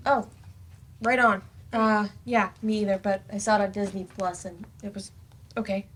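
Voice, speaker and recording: A woman's voice: mid-range voice, steady tone, moderate pace, natural voice. A woman playing an awkward, blunt, slangy adult student in a staged play reading with room noise. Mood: awkward, casual.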